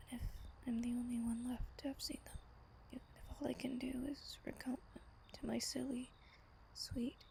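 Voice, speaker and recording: A woman playing an ancient, wistful vampire lover in a soft, breathy ASMR roleplay, telling her tale slowly and intimately. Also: a little high, slight background noise, rough recording. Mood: resolute, tender. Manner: gentle, slow, intimate storytelling.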